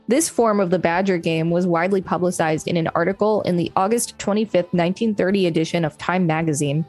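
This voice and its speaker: A woman with a slightly low voice co-hosts a podcast. She reads encyclopedia-style text aloud with casual asides, over faint music. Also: darkish tone. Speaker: podcast co-host. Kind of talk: reading an encyclopedia article aloud with casual banter.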